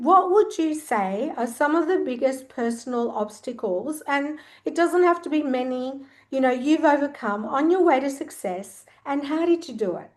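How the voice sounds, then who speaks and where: A woman speaking as a podcast host, gracious and effusive as she introduces and interviews a guest. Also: high voice. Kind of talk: warm formal introduction and interviewing.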